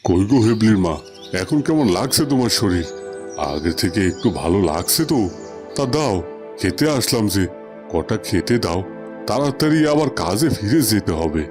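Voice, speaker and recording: Man voicing a low, easygoing village father. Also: sing-song, normal speed, over music. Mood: hurried, caring. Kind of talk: Bengali cartoon fairy-tale voice acting.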